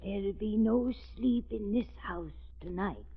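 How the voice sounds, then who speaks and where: A woman's voice: mid-range voice, a little slow, slightly rough. An actress playing a superstitious, foreboding Croatian servant woman, grave and dramatic in 1930s radio drama style. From Croatia, as the character. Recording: tinny, echoing old radio recording.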